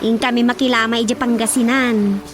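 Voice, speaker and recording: A woman's voice: a little high, steady tone, fairly quick, crowd noise, natural voice. A woman with an Ilocano accent playing a village mother in a Filipino radio drama. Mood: worried.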